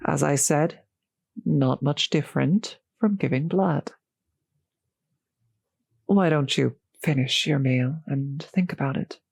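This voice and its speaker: Slow, genteel female vampire, acted by a woman. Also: low-pitched, gravelly, darkish tone, husky, no room sound, clean studio sound, heavily acted. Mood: polite, reassuring. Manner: polite, formal, old-fashioned phrasing, solicitous. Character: courteous, genteel female vampire, gently seductive host. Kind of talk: intimate audio roleplay addressed to the listener.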